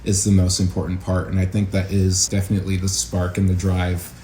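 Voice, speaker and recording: A man talking casually as an interview guest, an acting teacher explaining his craft in a thoughtful, halting way. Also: sits low, completely flat delivery, dark tone. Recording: roomy echo, faint background noise.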